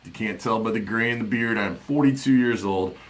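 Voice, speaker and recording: A man in his forties with a somewhat low voice talks to a live-stream audience in a loose, rambling way, leaning on fillers. He speaks into a studio mic on his desk in a small home office. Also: a little fast. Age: 40s. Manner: rambling, chatty, many fillers. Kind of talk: casual live-stream monologue. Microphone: studio mic on desk with headphones.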